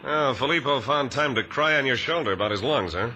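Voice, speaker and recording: A theatrical gangster villain, hard-edged and piercing. His lowish voice swoops as he mocks and threatens in flowery slang. It is old radio melodrama with some background noise. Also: animated, brisk, pushed and bright. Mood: menacing, mocking. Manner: mocking, menacing, flowery slang. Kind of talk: 1950s radio drama acting. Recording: noisy vintage radio recording.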